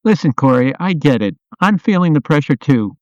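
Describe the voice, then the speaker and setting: A man acts out a concerned software product owner in an office radio play. His voice is clear and a little high, and he speaks earnestly, asking questions and seeking agreement. The audio is dry and slightly dull. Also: sing-song, moderate pace, dry recording. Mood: conciliatory, uneasy. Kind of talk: amateur radio play dialogue.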